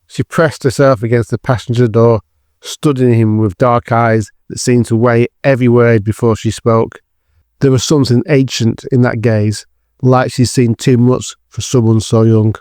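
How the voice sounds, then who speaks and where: A man's voice: medium pitch, fairly even intonation, moderate pace, darkish tone, no room sound, studio quality. A man narrating a scripted dramatic thriller as the storyteller of an audio drama.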